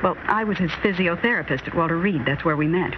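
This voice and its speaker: Actress as an earnest, worried American wife, muffled recording. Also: female voice, fairly low pitch, fast, natural voice. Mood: wistful, worried. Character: astronaut's worried wife, earnest, guarded, wistful. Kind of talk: vintage radio drama acting. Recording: noisy, muffled vintage radio recording.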